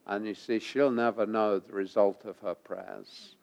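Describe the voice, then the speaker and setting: A man preaching a sermon while he has a head cold, his voice gravelly, pausing often with ums and uhs and repeating phrases for emphasis. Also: fairly low pitch, steady tone, a little mellow. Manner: halting, many ums and uhs. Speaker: pastor.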